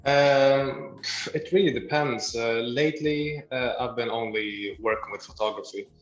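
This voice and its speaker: A man's voice: medium pitch, a little slow, dull audio, soft background music. Young man answering, echoey room. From Libya, raised in Sweden. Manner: hesitant, many fillers, laughs lightly. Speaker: guest photographer and doctor. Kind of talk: casual interview answers.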